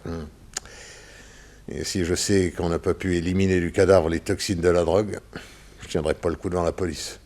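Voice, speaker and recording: An actor in a 1960s French radio drama playing an anxious, weak-willed crooked racehorse owner, with a hesitant, pleading delivery. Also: male voice, sits low, flat delivery, normal speed, pauses often, a little mellow, lightly acted. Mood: defeated, afraid.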